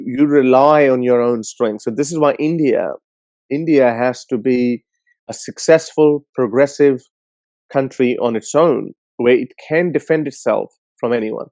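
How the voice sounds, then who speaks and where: A man's voice: mid-range voice, a little mellow, no room sound. Blunt, scornful male show host. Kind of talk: casual opinionated commentary.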